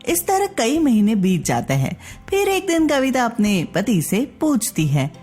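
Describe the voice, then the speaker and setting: A woman narrating a scripted children's-style story in an expressive, deliberate way. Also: on the high side, husky, faint music behind.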